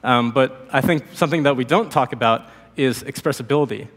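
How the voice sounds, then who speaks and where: A man in his thirties, slightly hoarse from a cold, gives a technical conference talk at a podium. His middling pitch sits easily, and he talks in a relaxed way with plenty of fillers and restarts. The sound carries the long reverb of a big hall. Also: fairly quick. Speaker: conference speaker. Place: large conference hall stage.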